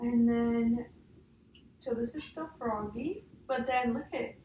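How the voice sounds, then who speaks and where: A woman's voice: a little high, takes it slowly, dull audio. A woman teacher talking through a picture book with a child, guiding and often checking for agreement. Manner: guiding, often ends statements with a checking "huh?". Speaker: teacher leading a read-aloud. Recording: roomy, distant mic, faint noise.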